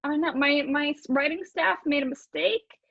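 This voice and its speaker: A woman acting a local reporter in a comic radio play. She is high-voiced, flustered and apologetic, stammering on a dull, close recording. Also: moderate pace, creaky, dry recording.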